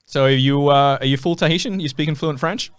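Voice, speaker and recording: A man hosts a casual podcast chat, firing off quick, friendly questions. He talks fast at a middling pitch. The recording is dry and close. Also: clean tone, no echo. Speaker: podcast host, former race commentator.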